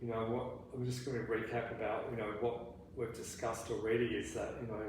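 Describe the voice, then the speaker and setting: A man's voice: on the low side, level tone. Hesitant man in an echoey room. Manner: halting, many restarts and "you know" fillers, gently probing. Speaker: therapist in a training role play. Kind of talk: counselling session role play. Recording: very echoey room, faint background noise.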